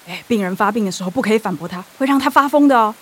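A woman's voice: mid-range voice, animated, rapid-fire, a bit bright, husky. An actress playing a scheming middle-aged mother, coaxing and insistent, in a radio drama.

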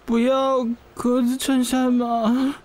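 Sobbing, innocent girl intern, radio drama, high and drawn-out voice, plaintive. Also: level tone, darkish tone. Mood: bewildered. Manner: plaintive, bewildered. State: crying. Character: naive, tearful young fashion magazine intern.

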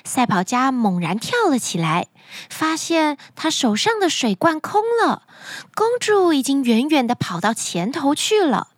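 A woman hosting a children's story show, speaking to young listeners in an animated, expressive storytelling manner. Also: high voice, measured pace, no echo, clean studio sound. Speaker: children's story podcast host.

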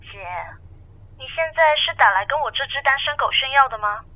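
An actress playing a teasing, exasperated younger sister in a radio drama. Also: female voice, high voice, brisk, narrow, tinny audio. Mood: sardonic, surprised. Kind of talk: Mandarin radio drama acting.

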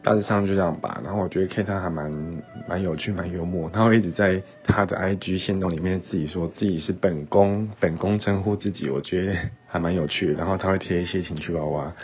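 A man's voice: sits low, fairly even intonation, quick pace, hardly any pauses, soft background music. A man rambling casually in a recorded message, on a dull lo-fi recording. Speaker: photographer's model leaving a recorded message.

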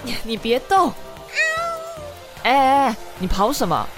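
A woman's voice: a little high, lively intonation, unhurried, loud music behind the voice. A woman playing a caring, mildly scolding bar manager in a radio drama, with a familiar, nagging manner. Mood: fussing, puzzled. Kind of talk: Mandarin radio drama acting.

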